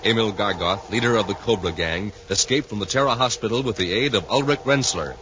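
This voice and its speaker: A man's voice: on the low side, a little fast. A man narrating a 1950s radio adventure serial in a suspenseful, declamatory announcer style. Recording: muffled, noisy 1950s radio recording.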